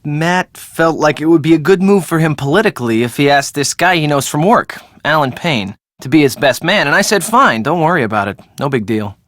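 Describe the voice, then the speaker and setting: A man's voice: on the high side, lively intonation, fairly quick, hardly any pauses, fairly bright tone, no echo, studio quality. An actor playing a defensive, glib young American man in casual, conversational video game dialogue. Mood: matter-of-fact.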